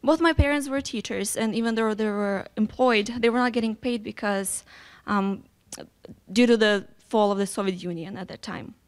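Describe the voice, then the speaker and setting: A woman's voice: medium pitch, normal speed, clean tone. A young woman from western Ukraine, giving a prepared personal speech as a guest, earnest and a little nervous. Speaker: guest speaker.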